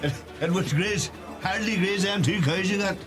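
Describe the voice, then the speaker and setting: A high-pitched Irish pub regular, played by a male actor, needles and teases. It is radio drama, with music clearly under the voice. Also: normal speed, lightly acted. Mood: mocking. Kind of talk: radio play acting.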